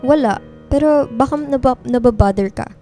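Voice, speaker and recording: A woman's voice: high-pitched, normal speed, mellow, lightly acted. A woman playing a guarded, blunt, introverted young female student in a radio drama, speaking in a frank, dry way. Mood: blunt, defensive. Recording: music bed behind dialogue.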